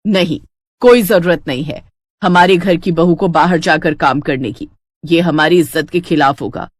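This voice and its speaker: A woman acting an older Indian family matriarch in a storybook tale. The voice sits lower and speaks firmly, with measured authority. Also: dry recording, clean studio sound. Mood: stern. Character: older mother-in-law, stern about honour, later supportive.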